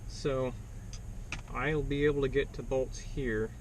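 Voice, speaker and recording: A man's voice: mid-range voice, steady tone, slow pace, noisy background. A man giving a casual, plainspoken hands-on how-to, talking himself through each step as he works. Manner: plainspoken, muttering step by step while working. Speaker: DIY vehicle installation video host.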